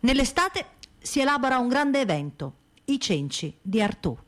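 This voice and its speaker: A woman reading scripted radio theatre narration with theatrical, measured phrasing. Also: high-pitched, very animated. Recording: music under the voice. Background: music.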